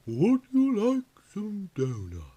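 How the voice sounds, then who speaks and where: A man voicing catty, overdramatic women from a trashy novel, with drawn-out, exaggerated lines. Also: high-pitched, wildly swooping pitch, dark tone, breathy edge, voice pushed up, full character voice. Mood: stilted, catty.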